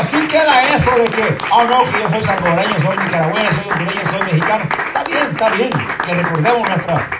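Give me a high-voiced pastor preaching, echoing from afar. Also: male voice. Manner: fervent preaching that swings between quiet explaining and shouted proclamation; often asks the congregation "¿verdad?". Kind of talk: sermon. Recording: echoey room from far off with faint crowd noise.

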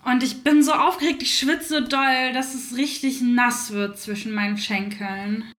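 A woman's voice: on the high side, steady tone, unhurried, reverberant. A woman voicing an outspoken young mother recounting her birth, in a theater radio play monologue. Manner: forceful, outspoken.

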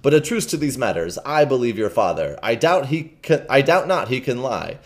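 A man's voice: mid-range voice, sing-song, moderate pace. A man reading aloud as a scheming young male beggar-thief, in old-fashioned storybook speech. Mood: wheedling.

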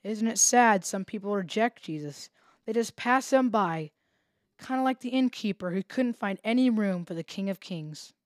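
Woman, medium pitch, kids' Bible podcast host, story narration, dry recording. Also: lively intonation, normal speed, no echo.

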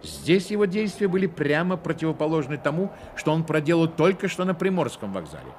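An actor playing the wry, theatrical narrator of a satirical radio play, delivering scripted narration expressively. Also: male voice, on the high side, wildly swooping pitch, fairly quick, a little mellow, a little hiss or hum. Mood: measured, wry. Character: wry male storyteller of a satirical novel.

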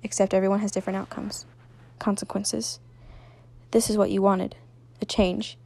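A woman voicing a cold, menacing overlord of a deadly simulation in a story, making loud, ominous pronouncements. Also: mid-range voice, level tone, moderate pace, gravelly, dry recording. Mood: commanding.

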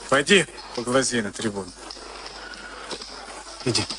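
An actor in a Soviet radio play as a moody, weary boxer of thirty-six. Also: male voice, mid-range voice, lively intonation, a little slow, background noise, natural voice. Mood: troubled, brusque. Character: moody, weary 36-year-old professional boxer and former prisoner. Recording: archival radio recording with music behind.

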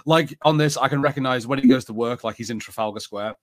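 A man's voice: mid-range voice, fast, no echo, studio quality. A man with an English accent co-hosting a podcast, talking casually in a film and TV review discussion. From England. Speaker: podcast co-host.

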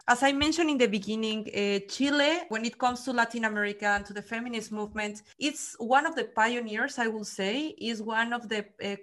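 A woman's voice: a little high, fairly bright tone. Mexican woman hosting a chat podcast. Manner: friendly, many fillers and restarts. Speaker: podcast host. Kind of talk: casual interview chat.

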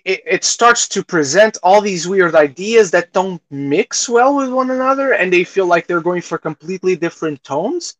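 A man hosting a podcast, chatting casually through film reviews, haltingly repeating phrases and acting out imagined reactions. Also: sits high, a bit bright, no echo, rough recording. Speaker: podcast host reviewing films.

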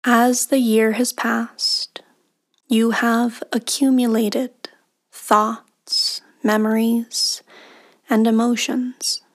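A woman leading a guided meditation in a soothing, unhurried manner, with long pauses and soft, breathy phrase endings. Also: a little high, level tone. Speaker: meditation guide, podcast host.

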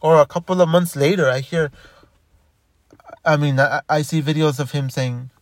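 A man with a slightly high voice rambles through a casual monologue, stumbling over his words and starting sentences again. The sound is dry and close, with no room echo. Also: steady tone, normal speed. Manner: rambling, frequent restarts and repeated words. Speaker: commentator.

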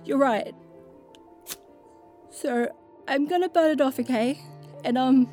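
A woman's voice: high-pitched, slow pace, a little mellow, soft background music. A woman playing an anxious but determined, self-doubting young adventurer in an audio drama, speaking in a hesitant, plain way. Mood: nervous resolve.

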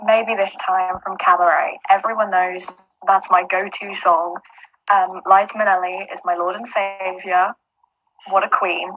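A woman who is a finalist guest with a low alto voice, chatting confidently. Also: little pitch movement, measured pace, muffled recording, slight background noise. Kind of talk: casual chat.

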